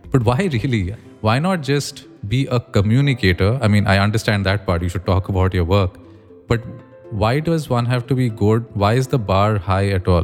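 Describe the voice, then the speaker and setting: A man's voice: fairly low pitch, darkish tone, big-room sound. A man hosting a podcast, asking polite, structured questions. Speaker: podcast host. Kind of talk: scripted podcast intro then interview.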